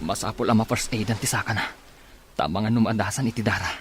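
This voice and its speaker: A man with an Ilocano accent playing a confused but helpful shipwreck survivor in a radio drama, speaking haltingly with gasps. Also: on the low side, music in the background, natural voice. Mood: urgent concern. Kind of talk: Filipino radio drama acting.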